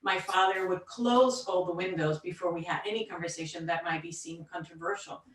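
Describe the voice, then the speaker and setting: A woman's voice: on the low side, expressive, brisk, a bit bright. A woman speaking with a Cuban accent, talking earnestly as a panelist in a discussion. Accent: Cuban Spanish. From Cuban American. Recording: echoey and distant from the mic.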